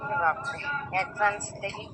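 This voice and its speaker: A woman's voice: medium pitch, wildly swooping pitch, fairly quick, noisy background. A woman in a casual phone conversation, suspicious and questioning in an exclamatory way. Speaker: prank call target.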